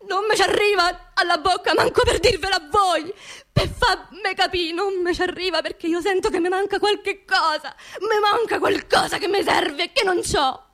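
An actress playing an angry, grieving working-class widow in a radio monologue, speaking colloquial Roman dialect in a confiding, impassioned way with repeated phrases. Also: female voice, very high-pitched, fairly bright tone. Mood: tearful, desperate.

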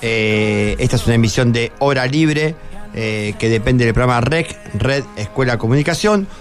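A man's voice: medium pitch, expressive, moderate pace, soft background music. A man with an Argentine accent from Buenos Aires hosting a live radio interview, conversational and prompting with questions. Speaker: radio host.

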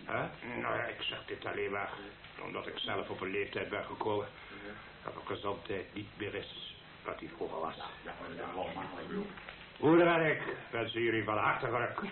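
A man's voice: lowish voice, normal speed, creaky, long pauses, a crowd in the background. A man playing a folksy, jovial older father of the bride giving a rambling, self-deprecating wedding speech in a radio play. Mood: sentimental. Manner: rambling, self-deprecating, hesitant public speaker. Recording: tinny band-limited old radio play, echoey crowded hall.

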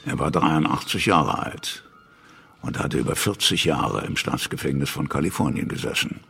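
A man's voice: rumbling low, lively intonation, moderate pace, gravelly, exaggerated voice. A man with pronunciation narrating a radio play from a script in a laconic, matter-of-fact way. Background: music.

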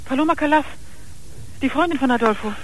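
A woman's voice: high voice, a little fast, slight background noise. An actress in a radio crime drama playing a desperate, drug-addicted young girlfriend, pleading urgently over the telephone. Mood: anxious, insistent.

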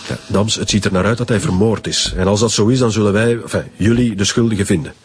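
An actor playing a bossy police chief who hems between orders, in a naturalistic radio drama, with a Flemish accent. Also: male voice, fairly low pitch, fairly even intonation, fast, slight background noise, natural voice. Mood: brisk, commanding. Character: male police chief, brisk and bossy, hesitant phrasing. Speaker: actor in a radio crime serial.

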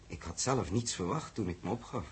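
A man acting an earnest, composed and authoritative spaceship commander in a 1960s radio drama, with a Netherlands Dutch accent and a husky voice. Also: sits low, lively intonation, fairly quick, slight background noise. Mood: earnest, trusting. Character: earnest, steady male spaceship commander and test pilot.

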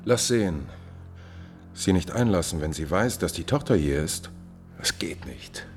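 A scheming male courtier speaks in a low, very animated voice, full of polished, mocking courtesy. It is theatrical mid-century radio acting, with faint music behind. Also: measured pace. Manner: suave, calculating, mocking formal courtesy. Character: scheming, smooth male court chamberlain and marquis.

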